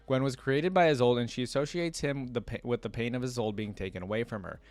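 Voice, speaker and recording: Guy with a lowish voice doing a reaction vid, reads stuff out and comments casually, really dry sound. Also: no room sound. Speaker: reaction video host. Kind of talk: reading aloud with casual off-the-cuff commentary.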